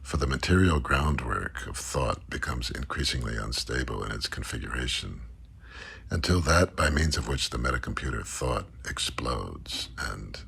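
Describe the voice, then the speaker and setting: Very deep, gravelly man reading scholarly prose. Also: bright, forceful tone. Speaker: reader of a literary text. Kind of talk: scripted reading of a mock-scholarly essay. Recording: faint background noise.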